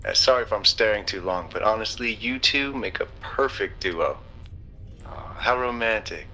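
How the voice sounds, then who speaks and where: A man's voice: lowish voice, normal speed, bright, forceful tone, faint music behind, low-quality recording. A man voicing a suave, chatty, flirtatious drinks vendor in a video game, with a friendly salesman's patter. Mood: flirty, upbeat.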